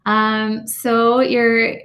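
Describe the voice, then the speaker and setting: A woman's voice: a little high, monotone, drawn-out words, fairly bright tone. A woman hosting a meetup interview over a video call, chatty and friendly, with frequent fillers.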